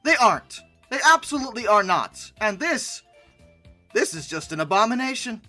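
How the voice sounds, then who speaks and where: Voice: medium pitch, sing-song, moderate pace, bright, forceful tone, soft background music. A person giving humorous reaction commentary in a sarcastic, exasperated manner. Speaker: host of a commentary video.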